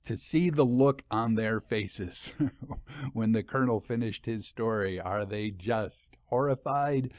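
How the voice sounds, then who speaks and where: A man's voice: on the low side, a little mellow, no room sound. A man hosting a story podcast, talking to listeners in a conversational, musing way with rhetorical questions. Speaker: podcast host and anthology editor.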